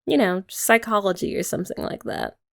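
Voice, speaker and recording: A young woman in an ASMR girlfriend roleplay, talking softly and teasingly to the listener. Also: medium pitch, sing-song, a little fast, vocal fry. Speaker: ASMR creator.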